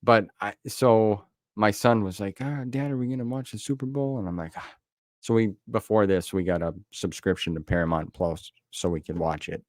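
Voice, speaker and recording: Middle-aged American male host with a deep voice, plain-spoken and conversational, recorded very dry. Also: normal speed, darkish tone, no echo. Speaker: podcast host. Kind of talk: casual chat.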